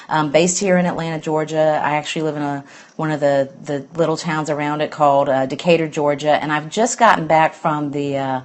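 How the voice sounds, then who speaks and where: A middle-aged woman from Alabama with a Southern accent, chatting in a rambling, easygoing way on a phone live stream as host of a news show. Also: deep voice. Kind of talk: casual live-stream chat.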